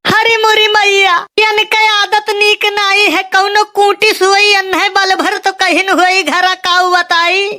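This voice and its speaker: A man's voice: extremely high pitch, monotone, slow, few pauses, sharp tone, heavily acted. A man playing a suspicious middle-aged village mother in a comic cartoon, grumbling shrilly with an Awadhi accent. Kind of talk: amateur comic cartoon dub in dialect.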